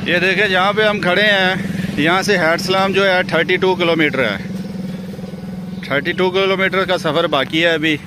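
A man guides viewers along roads and a river as a friendly travel vlogger. His voice sits a little high. Steady traffic noise runs under his outdoor camera audio. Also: normal speed. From Pakistan. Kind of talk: casual travel vlog narration.

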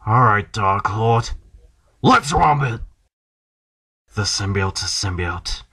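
Guy doing brash wisecracking cartoon superhero lines, really bright punchy voice, amateur voice acting. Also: lowish voice, expressive, slow pace, slightly rough. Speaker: amateur voice actor. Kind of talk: acted character voice clips for a fan cartoon.

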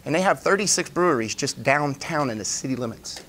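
A man in his forties presenting a business pitch live in a meeting room, plain-spoken, in short emphatic phrases. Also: animated, brisk, a bit bright, a little hiss or hum. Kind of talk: informal live presentation with slides. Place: indoor meeting room, standing at a lectern.

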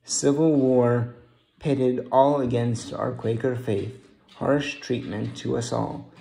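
Male student narrator, dark and creaky, solemn verse recitation at middle pitch. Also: fairly even intonation, slow, low-quality recording.

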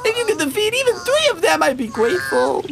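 A man's voice: very high-pitched, big pitch swings, fast talker, creaky, pitched above the performer's own voice, full character voice. A man voicing a pleading video game character, reading its dialogue aloud in character. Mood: plaintive, pleading.

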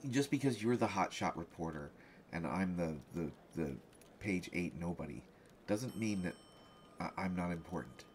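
A man acts out a distracted, put-upon newspaperman in a one-sided roleplay audio. His fairly low voice jumps animatedly up and down as he stammers, defensive and jealous. There is noticeable noise behind him. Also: animated. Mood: exasperated, defensive. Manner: stammering, halting, exasperated. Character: flustered, distracted male reporter, jealous and self-defensive. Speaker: voice actor in a solo roleplay audio.

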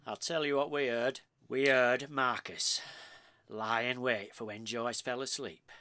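An actor playing an excitable, morbid husband in a comic radio play, melodramatic and gossipy, with a British accent. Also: male voice, medium pitch, a little slow, clean tone, no echo. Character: excitable morbid husband spinning lurid murder theories.

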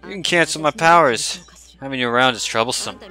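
A man's voice: medium pitch, fairly quick, bright, forceful tone, soft background music, lightly acted. A man voicing a taunting, sinister female wind demon, reading game dialogue in a menacing, theatrical way.

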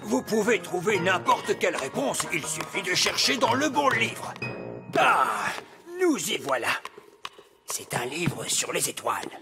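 A man's voice: high voice, big pitch swings, unhurried, over music, full character voice. An actor playing a wise old elf librarian in a French cartoon, formal and patient as he explains. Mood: patient, helpful. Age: older. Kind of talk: French animated cartoon dialogue.

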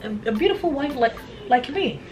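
A woman in her thirties from Ghana, hosting a family vlog at home in an animated, chatty way and checking in with the camera often. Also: high voice, fairly quick, highs cut off, music in the background. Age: 30s. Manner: animated, chatty, frequent "all right?". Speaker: family vlogger host. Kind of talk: casual chat to camera. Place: indoor room at home.

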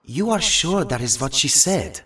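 A man's voice: on the high side, sing-song, unhurried, bright, forceful tone, distant mic, full character voice. A man playing an earnest, troubled young mutant hero in an audio drama. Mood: troubled, hesitant.

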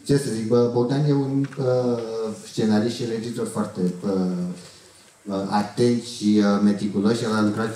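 A middle-aged man gives an informal, halting answer on a stage panel, often repeating words. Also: medium pitch, level tone, warm tone, slight background noise. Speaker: film crew member on panel. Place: auditorium stage, indoors. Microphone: handheld microphone held low. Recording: very echoey hall, distant.

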